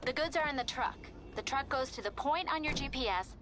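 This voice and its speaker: A brisk office assistant. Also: female voice, high voice, expressive, background noise. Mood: businesslike. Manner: crisp, matter-of-fact briefing. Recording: game audio with music behind.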